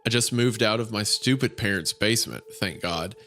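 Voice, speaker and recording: A man's voice: fairly low pitch, moderate pace, sharp tone, soft background music. A man voicing a young, bitter, self-mocking drug-addict blogger in a chatty, sarcastic horror-story narration. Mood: breezy. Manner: chatty, sarcastic first-person blog delivery.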